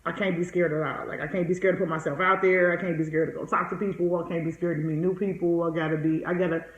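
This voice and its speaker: A woman's voice: on the low side, steady tone, fast. A woman in her forties talking casually and candidly, outspoken and emphatic, repeating phrases to drive them home. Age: 40s. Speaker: podcast guest, entrepreneur being coached. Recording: echoey room, slightly dull sound.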